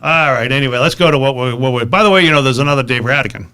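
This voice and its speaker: A middle-aged man co-hosting a podcast with casual, wry, teasing chat and halting restarts. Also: mid-range voice, bright, forceful tone, clean tone. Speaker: podcast co-host.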